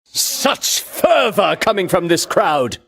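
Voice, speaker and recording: Vainglorious male game champion: scornful, grandiose, slow declamatory delivery with sweeping, animated intonation. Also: high-pitched, vocal fry, slightly rough, faint music behind, exaggerated voice. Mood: exultant, proud. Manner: grandiose, declamatory, archaic heroic diction. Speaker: voice actor. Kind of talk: theatrical video game battle lines.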